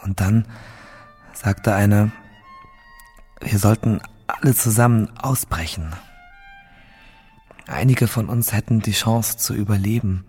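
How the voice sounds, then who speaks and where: A low, husky male voice as a haunted prisoner facing death in a radio drama. He tells his ordeal slowly and gravely, subdued and at times nearly whispering. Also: darkish tone. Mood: bleak, haunted. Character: male captive awaiting execution, parched, grave, haunted survivor. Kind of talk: first-person radio drama monologue.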